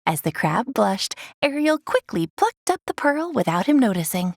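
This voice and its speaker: A woman narrates a children's read-along with expressive delivery. Her voice sits fairly high and moves animatedly up and down. The sound is dry, with little room in it. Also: normal speed, no room sound. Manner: expressive storytelling. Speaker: storybook reader.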